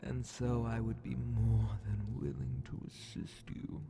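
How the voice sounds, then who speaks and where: A man voicing a sly, menacing demon grand vizier in an ASMR fantasy horror roleplay, mockingly polite and theatrical, often dropping into a breathy whisper. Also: mid-range voice, fairly even intonation, mellow. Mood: silky menace. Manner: slow, theatrical, condescending, half-whispered menace.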